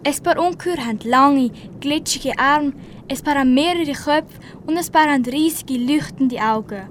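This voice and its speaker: A woman's voice: high-pitched, normal speed, a little hiss or hum. A teenage girl reading scripted story narration for an amateur radio play.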